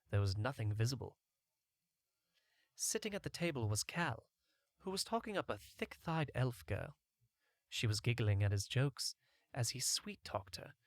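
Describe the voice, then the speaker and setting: A man's voice: mid-range voice, no echo, studio quality. A man reading a first-person fantasy adventure story as a scripted audiobook narration.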